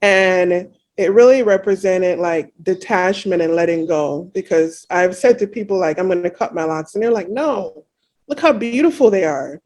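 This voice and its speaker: A woman's voice: on the low side, moderate pace, darkish tone. A woman in her thirties from Jamaica, a guest in a casual interview, talking reflectively with many "you know" fillers.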